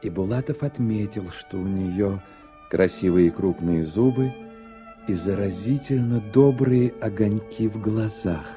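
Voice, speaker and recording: A man's voice: fairly low pitch, unhurried, warm tone, faint music behind. A man giving scripted literary narration for a radio play.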